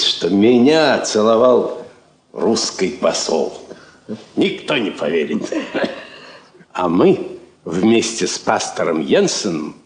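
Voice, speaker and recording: An actor playing an awestruck, hard-of-hearing old village man in a Soviet radio drama, slow and loud, repeating himself. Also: fairly low pitch, echoey room, exaggerated voice.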